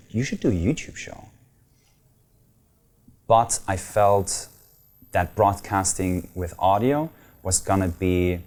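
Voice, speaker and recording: A man's voice: low-pitched, darkish tone. A man in his thirties from Germany with a German accent, talking earnestly as an interview guest with some hesitant fillers. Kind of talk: casual interview talk.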